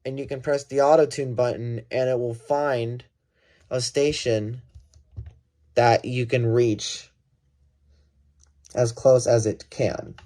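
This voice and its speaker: A man's voice: mid-range voice, fairly even intonation, takes it slowly, pauses often, warm tone. A man giving a casual hands-on gadget demonstration, thinking aloud and correcting himself as he goes. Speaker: product reviewer.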